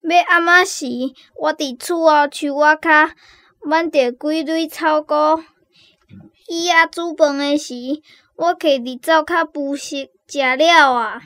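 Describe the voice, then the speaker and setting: A woman's voice: high voice, fairly even intonation, slow, fairly bright tone. A woman with a Taiwanese accent playing a curious, innocent young village boy in a radio drama. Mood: plain, hurting.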